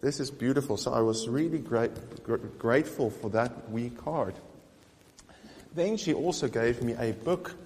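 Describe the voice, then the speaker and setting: A man's voice: mid-range voice, a little slow, a little mellow, in a large hall. Eager man. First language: Afrikaans. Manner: eager, chatty, with small restarts. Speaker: vlogger. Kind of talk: casual chat showing gifts.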